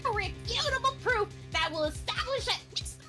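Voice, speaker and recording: A woman's voice: extremely high pitch, lively intonation, brisk. A woman voicing a haughty, accusing game prosecutor in a declamatory, exclaiming way, as amateur voice-over. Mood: dramatic, accusing. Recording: loud music over the voice.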